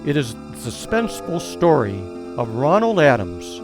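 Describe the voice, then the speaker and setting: A man's voice: a little high, sing-song, normal speed, music in the background. A man announcing a suspense radio show in a formal, dramatic, scripted old-time style.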